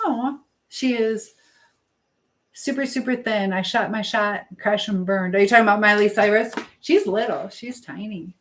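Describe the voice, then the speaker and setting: A woman's voice: medium pitch, normal speed. Woman chatting casually over noisy background. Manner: chatty and affectionate with viewers. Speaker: live stream host. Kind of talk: casual live chat, reading viewer comments aloud. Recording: clear background noise with clicking sounds.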